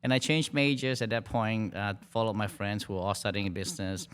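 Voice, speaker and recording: Relaxed man from Malaysia, lower voice. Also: clear, resonant tone. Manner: relaxed, halting, many fillers and restarts. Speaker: guest, hospitality dean. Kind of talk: conversational podcast interview.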